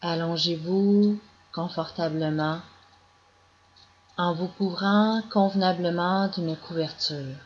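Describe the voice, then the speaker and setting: A woman guiding a yoga nidra meditation as scripted narration, in calm, measured instructions with long pauses. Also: on the low side. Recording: dull lo-fi recording with clear background noise.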